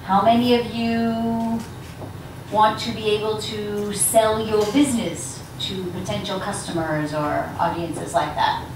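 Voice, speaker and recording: A woman's voice: mid-range voice, steady tone, measured pace, background noise. A woman speaker leading an interactive workshop, asking the audience questions as she talks. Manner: engaging, asks the audience questions. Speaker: guest speaker on elevator pitches. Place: large echoey lecture room. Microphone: handheld mic from a distance. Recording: echoey room recorded from far off.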